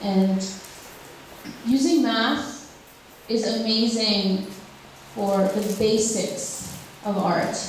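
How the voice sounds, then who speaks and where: A woman's voice: mid-range voice, extremely slow, a little hiss or hum. A woman from Ukraine, a drawing teacher answering audience questions at a public talk, speaking hesitantly with many fillers and restarts. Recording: echoey hall, speaker far from mic.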